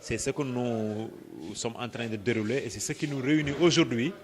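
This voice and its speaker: A man with a Senegalese accent gives an explanatory interview answer as a project coordinator, phrasing things deliberately and often hesitating or repeating words. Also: mid-range voice.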